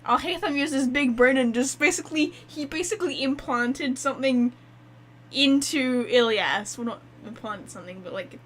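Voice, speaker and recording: High, bright-voiced excitable woman gamer. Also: normal speed, a little hiss or hum. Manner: excitable, exclamatory, slangy. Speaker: gamer reacting to game cutscenes. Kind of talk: casual gameplay commentary.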